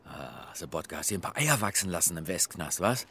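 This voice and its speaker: An actor playing a menacing East German secret police handler in a crime radio play, taunting and condescending. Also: male voice, low voice, animated, fairly quick, a bit bright, breathy edge, full character voice. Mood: mocking, condescending.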